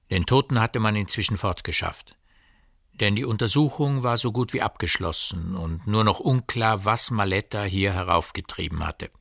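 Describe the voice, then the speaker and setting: A man's voice: on the low side, vocal fry, a bit bright, dry recording, studio quality. A man reading literary scripted narration as the narrator of a radio drama. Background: music.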